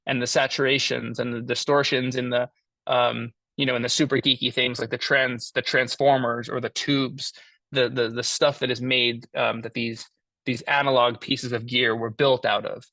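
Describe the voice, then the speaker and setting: Guy explaining studio gear in a casual class, halting, lots of fry in a bright voice. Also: medium pitch, level tone, dry recording. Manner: halting, thinking aloud. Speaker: mixing engineer teaching a class. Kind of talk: informal lesson, explaining audio tools.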